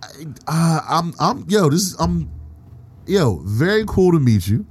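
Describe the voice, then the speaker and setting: A man hosting a casual podcast chat, excitable and profane, laughing often. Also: a little high, a little slow, breathy edge. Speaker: podcast host.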